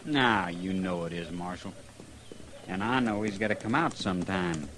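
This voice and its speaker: Bitter Old West gunman, male actor, speech, fairly low, clearly noisy vintage radio recording. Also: normal speed, close to an everyday voice. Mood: menacing. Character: vengeful, bitter Texan out to kill. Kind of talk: 1950s radio western acting.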